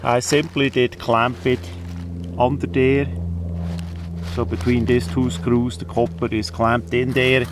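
A non-native English-speaking man giving a casual hands-on demonstration outdoors, halting often mid-phrase. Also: medium pitch, little pitch movement. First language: not English. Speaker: presenter of a DIY radio antenna video. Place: outdoors in windy weather. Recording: outdoor camera audio with faint noise.

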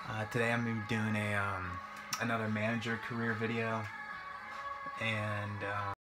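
A man's voice: lowish voice, flat delivery, moderate pace, bright, forceful tone. A young man doing casual gameplay commentary in a hesitant, rambling, informal way. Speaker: gaming video creator. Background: guitar music.

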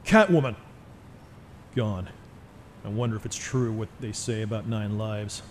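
An actor playing a grim, brooding masked vigilante in a noir radio drama, terse and solemn. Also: male voice, fairly low pitch, unhurried, a little hiss or hum, close to an everyday voice. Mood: grim, resolute.

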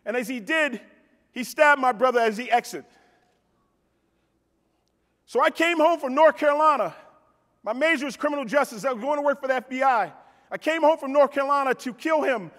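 Middle aged american guy doing a motivational keynote, really high voice, big echoey hall, punchy repeats. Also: a bit bright. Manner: emphatic, with repetition for effect and direct address to the audience. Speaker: keynote speaker. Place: on stage in a large convention hall. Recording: reverberant large hall PA sound.

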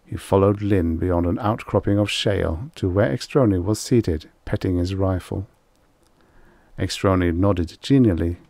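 Slow, low-voiced male audiobook narrator. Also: darkish tone, no room sound. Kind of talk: scripted fiction narration.

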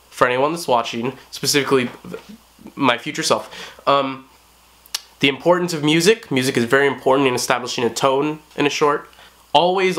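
Brief: hoarse young guy vlogging from his bedroom, medium pitch, loose and rambling. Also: a bit bright. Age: young adult. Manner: rambling, many fillers and "like"s. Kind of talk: casual talk to camera. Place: small bedroom, indoors. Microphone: camera on tripod at close range.

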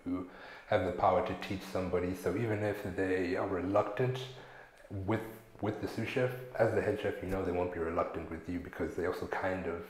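A man's voice: sits low, brisk. A young man from Zimbabwe, a chef, talking casually and slangily in an interview on a lapel mic. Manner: relaxed, slangy, acts out coworkers' lines. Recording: echoey room.

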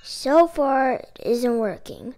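A woman reading a children's picture book aloud in an expressive, emphatic storytelling manner. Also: high-pitched, takes it slowly, a little hiss or hum. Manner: expressive, emphatic delivery with dramatic exclamations. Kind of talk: animated children's read-aloud.

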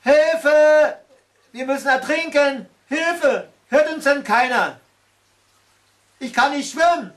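A man voicing frightened, excitable children in a storybook, loud and exclaiming. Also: extremely high pitch, takes it slowly, pauses often, far from the mic, voice pushed up, full character voice. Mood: panicked. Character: frightened, despairing storybook children crying for help.

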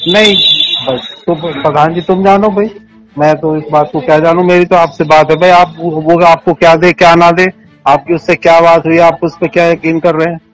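Man, western UP accent, slightly high-pitched, insistent questioner on a recorded phone call, music underneath. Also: flat delivery, moderate pace. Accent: western Uttar Pradesh. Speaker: police sub-inspector on a phone call. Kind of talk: informal phone argument.

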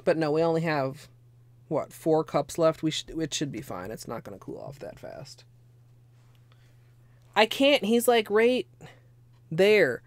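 A hoarse young woman chatting casually through a nail art tutorial at her desk at home, rambling with lots of fillers. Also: sits low, big pitch swings, a little slow, long pauses, no echo. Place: small home room with space heater.

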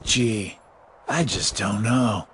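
An actor playing a smug, mocking middle-aged villain in a video game, with a taunting, sardonic drawl. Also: male voice, lowish voice, drawn-out words, a bit bright, a little hiss or hum. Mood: sarcastic. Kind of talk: English video game cutscene voice acting.

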